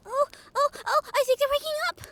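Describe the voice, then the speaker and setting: A woman playing a cheerful, caring young female harpy in an audio roleplay, gushing, excitable and soothing. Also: very high voice, fast, heavily acted. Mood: excited.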